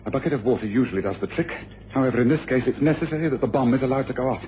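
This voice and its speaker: A man's voice: mid-range voice, rapid-fire, lightly acted. An actor playing a brilliant, commanding male detective with precise, didactic delivery in old-time radio drama style. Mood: calm, deliberate. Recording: dull, noisy old radio recording.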